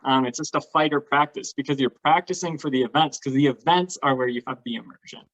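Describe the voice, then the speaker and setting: A man's voice: medium pitch, fast talker, fairly bright tone, poor audio. A man talking casually and enthusiastically, explaining things as a guest on a talk show. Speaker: guest, LARP participant.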